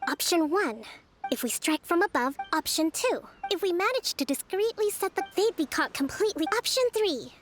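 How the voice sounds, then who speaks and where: A woman playing a composed, formal young military leader in a video game, speaking in a measured, deliberate way. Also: very high-pitched, lively intonation. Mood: pondering. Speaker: game character voice. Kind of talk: scripted video game dialogue acting. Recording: game music underneath.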